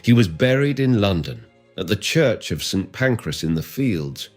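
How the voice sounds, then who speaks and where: A male narrator plays a sly middle-aged spy who speaks smoothly and ingratiatingly. The voice sits very low yet moves lively up and down, with faint music behind. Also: measured pace. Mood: smooth, reasonable. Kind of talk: audiobook character reading.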